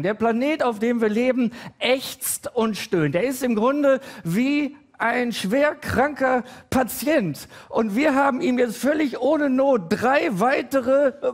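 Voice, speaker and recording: A man in his forties doing a stand-up comedy routine with halting, hesitant delivery and deadpan timing, full of pauses and fillers. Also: very high voice. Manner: deadpan, growing excited and loud toward the end.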